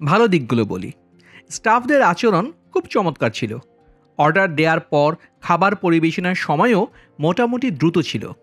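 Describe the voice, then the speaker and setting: A man narrating a vlog as its host, politely and in measured phrases, speaking directly to viewers. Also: high voice, fairly bright tone, dry recording. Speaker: travel vlogger and host. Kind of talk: vlog voice-over narration with review commentary. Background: background music.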